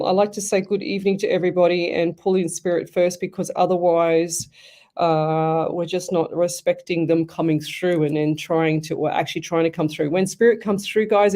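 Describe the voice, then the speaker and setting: A woman's voice: on the low side, steady tone, moderate pace, dark tone. An Australian woman hosting a live radio show as a psychic medium, chatty and rambling, often restarting her sentences. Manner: chatty, rambling, self-interrupting.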